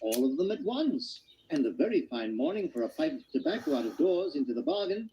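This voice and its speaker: Fussy, mellow hobbit, high-pitched. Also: male voice, big pitch swings, a little fast. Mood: genial. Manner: fussy, polite, spluttering. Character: fussy middle-aged male hobbit, homely, polite, flustered. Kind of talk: radio play acting. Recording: dull, noisy radio play recording.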